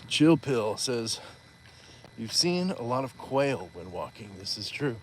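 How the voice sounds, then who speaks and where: A man's voice: medium pitch, sing-song, takes it slowly, a little hiss or hum. A man hosting a live stream while walking outdoors, chatting casually and reading viewer comments aloud with playful asides. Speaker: livestream host.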